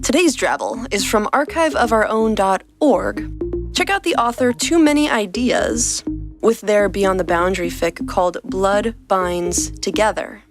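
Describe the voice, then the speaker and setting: A woman's voice: mid-range voice, lively intonation, measured pace, music in the background. A woman podcast host reading a scripted story as its narrator.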